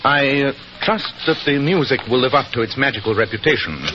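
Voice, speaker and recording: An actor playing a courteous, analytical English detective with a British accent, precise and formal, in 1940s radio style. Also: male voice, medium pitch, brisk, close to an everyday voice. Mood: keen. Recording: dull, hissy 1940s radio recording.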